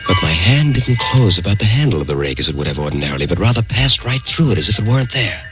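Casting: earnest, bewildered man recounting uncanny events for vintage radio, highly expressive delivery over music. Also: dull audio. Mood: tense. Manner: dramatic storytelling, halting when nervous in dialogue. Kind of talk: old-time radio drama, first-person narration. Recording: old-time radio recording with music behind.

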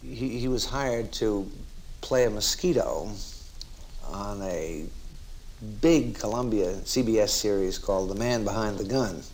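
A man telling anecdotes in a measured, reminiscing way, like a raconteur. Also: fairly low pitch, a little mellow, slight background noise, low-quality recording. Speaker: interviewee recalling colleagues in radio.

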